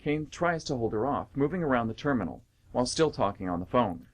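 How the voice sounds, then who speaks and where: A man's voice: mid-range voice, animated, fairly quick. A man narrating scripted stage directions for a radio play.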